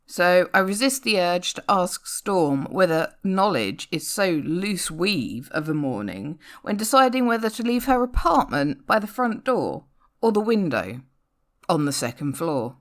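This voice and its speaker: A woman with a fairly low voice performs a rhyming spoken-word story. Her delivery is wry and theatrical, with pitch that moves around a great deal from line to line. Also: big pitch swings, unhurried. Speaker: poetry reader.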